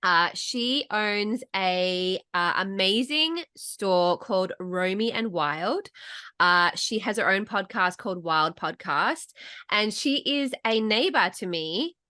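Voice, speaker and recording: A woman's voice: medium pitch, animated, slow pace, bright, forceful tone, clean tone, no room sound, studio quality. A woman with an Australian accent hosting a podcast, chatting casually, swearing now and then. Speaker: podcast host. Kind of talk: casual chat interview.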